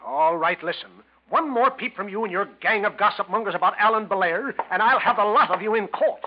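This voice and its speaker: An actor playing a protective older husband who blusters and bursts out forcefully, in 1940s radio acting. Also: male voice, sits high, fairly quick, lightly acted. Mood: angry. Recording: dull old radio broadcast.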